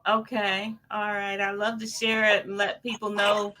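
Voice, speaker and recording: A woman guest author speaks to the host of an online show. Her voice is bright and forward. She talks in a warm, grateful way. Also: mid-range voice, monotone, normal speed. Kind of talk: casual thanks.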